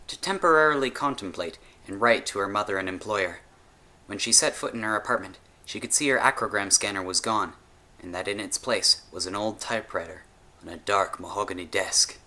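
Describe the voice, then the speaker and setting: A man's voice: lowish voice, pushed and bright. A man reading scripted narration of a short story as its storyteller. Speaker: storyteller, author.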